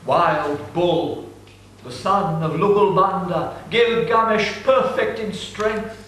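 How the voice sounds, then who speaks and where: High voiced guy reading an epic slow and grave, sounds far away in a big echoey room. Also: a bit bright. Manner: slow, grave, declaiming recitation. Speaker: reader. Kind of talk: dramatic reading of an ancient epic. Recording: echoey room, voice set back from mic with faint noise.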